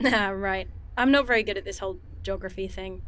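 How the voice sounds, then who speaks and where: A woman's voice: medium pitch, brisk, fairly bright tone, lightly acted. An actress playing a curious, wry young woman scientist in an audio drama, speaking casually and sardonically. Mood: sheepish, friendly.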